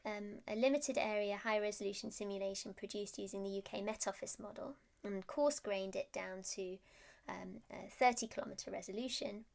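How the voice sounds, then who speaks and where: A woman's voice: medium pitch, gravelly. A woman presenting research as a scientist, explaining technical slides in a hesitant, stop-start way.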